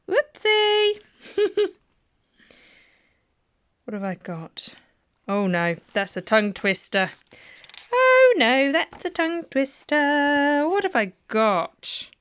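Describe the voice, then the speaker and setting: Middle-aged woman reading kids' poems at bedtime, slow and playful, dry dull lo-fi sound. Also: on the high side, clean tone, no echo. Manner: playful, chatty asides between poems. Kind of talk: reading children's poetry aloud.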